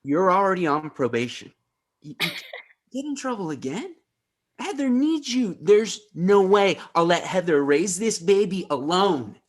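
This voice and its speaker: An actor playing an anxious, loyal teenage son, earnest and pleading, in a comedy table read. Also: male voice, high voice, animated, unhurried. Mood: insistent.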